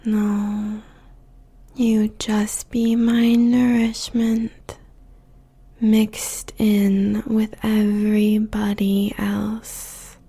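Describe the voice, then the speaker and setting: A woman playing a seductive, possessive demon queen in a whispered audio roleplay, slow and deliberate, breathy with a gravelly fry. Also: mid-range voice, monotone, mellow, exaggerated voice. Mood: cool, menacing. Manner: slow, deliberate, sultry, menacingly tender.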